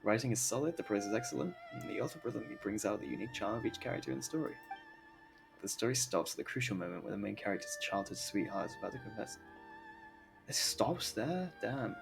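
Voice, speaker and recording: A man's voice: mid-range voice, wildly swooping pitch, quick pace, creaky, poor audio. A young man casually commentating on a game stream in a slangy, rambling, jokey way. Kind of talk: casual live game stream commentary, reading aloud and reacting. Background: game music.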